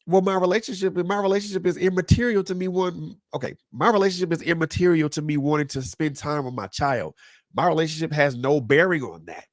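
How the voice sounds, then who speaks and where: A man's voice: on the high side, brisk, no room sound, clean studio sound. A man speaking as a guest in a panel discussion, emphatic and preacherly, repeating phrases to drive his points home.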